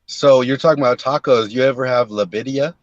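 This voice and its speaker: Laid-back slangy caller on a dry, dull line. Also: male voice, medium pitch, brisk, no room sound. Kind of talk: casual phone call-in.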